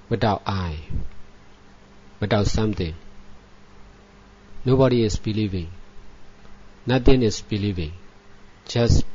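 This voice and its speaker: A man who is a native Burmese speaker gives guided meditation as a Buddhist teacher, in measured, repetitive short phrases with frequent pauses. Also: fairly low pitch, dark tone, a little hiss or hum. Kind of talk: guided meditation, spoken dhamma instruction. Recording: dull lo-fi recording.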